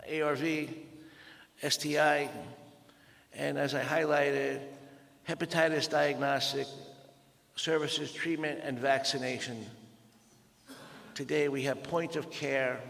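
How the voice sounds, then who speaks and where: A man with a Dutch accent giving a conference presentation, measured and pausing often with fillers. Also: mid-range voice, creaky, fairly bright tone. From Netherlands. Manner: measured, with frequent pauses and fillers. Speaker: conference speaker. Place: large conference hall. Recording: reverberant conference hall.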